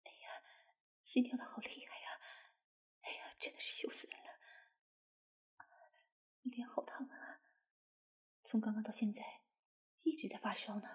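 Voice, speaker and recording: A woman's voice: on the high side, measured pace, pauses often, close to an everyday voice. A woman voicing a bashful middle-aged mother-in-law who talks to herself in a flustered, whispery way. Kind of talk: intimate audio roleplay.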